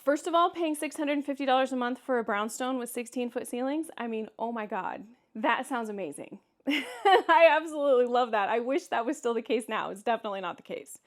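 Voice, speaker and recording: A woman vlogger with a distinctly high voice and a Kansas accent talks casually to her viewers. She is candid and conversational, leaning on words for emphasis as she gives her opinions. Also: animated, a little fast. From Kansas, United States. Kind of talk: casual solo vlog commentary, answering viewer comments.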